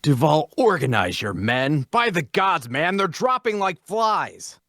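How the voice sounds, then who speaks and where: Man acting a commanding, high-pitched fantasy adventurer leader. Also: sing-song, measured pace, a bit bright, no echo, full character voice. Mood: tense. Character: commanding male adventurer leader barking orders in battle. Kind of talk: fantasy audio drama table read.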